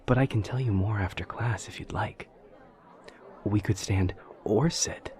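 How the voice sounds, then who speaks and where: A man playing an awkward, literal-minded demon college student in an audio roleplay, speaking in a halting, formal, earnest way. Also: fairly low pitch, darkish tone, natural voice. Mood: eager, informative. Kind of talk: roleplay audio drama acting.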